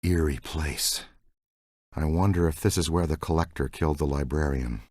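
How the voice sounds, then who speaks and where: A man's voice: extremely low pitch, normal speed, darkish tone, no echo, clean studio sound. A man voicing an eager, good-natured ghost-hunting scientist in a video game, earnest and technical. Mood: thoughtful. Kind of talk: video game dialogue, battle shouts and banter.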